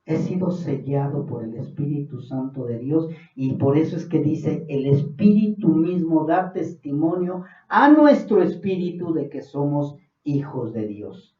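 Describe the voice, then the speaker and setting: A Mexican man preaches, his voice sitting fairly high and turning earnest and fervent as he presses a point. He is heard from a distance in a roomy church hall, and the recording sounds dull and muffled. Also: expressive, a little slow. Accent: Mexican Spanish. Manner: earnest, rising to fervent emphasis. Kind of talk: Bible study sermon. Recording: distant, dull, echoey room.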